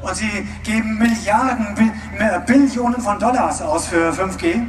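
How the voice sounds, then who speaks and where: A man interprets a speech phrase by phrase, loud and emphatic and sometimes groping for the right word, his voice pitched very high. He sounds distant and echoing through an outdoor public address system. Crowd noise and music carry underneath. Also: moderate pace. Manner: loud and emphatic, at times searching for words. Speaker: German interpreter at a rally. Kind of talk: live consecutive interpreting. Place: outdoor mass rally. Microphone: public address system, recorded from a distance.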